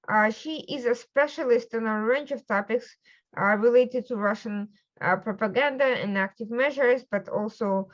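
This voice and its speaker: A woman hosts the show and introduces her guest in measured, formal tones. Her voice sits a little high. The audio is slightly muffled and lo-fi. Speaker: host and editor-in-chief.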